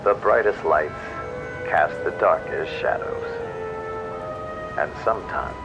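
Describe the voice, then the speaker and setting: Man as a deep, slow, brooding night radio host. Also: lo-fi sound. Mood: brooding, solemn. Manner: slow, solemn, measured with long pauses. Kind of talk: scripted late-night radio monologue. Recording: loud music under the voice.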